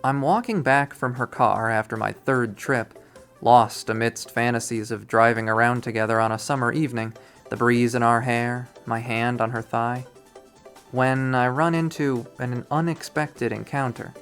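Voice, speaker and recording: A person reading visual novel narration aloud in an expressive storytelling manner. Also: medium pitch, normal speed, faint music behind. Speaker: video game reader.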